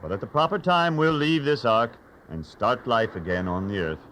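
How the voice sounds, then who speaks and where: Actor as devout, commanding patriarch, animated pitch. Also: male voice, moderate pace, slight background noise, lightly acted. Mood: solemn, reassuring. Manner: earnest, commanding. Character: Noah, firm, devout, patient patriarch father. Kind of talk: dramatized children's audio Bible story.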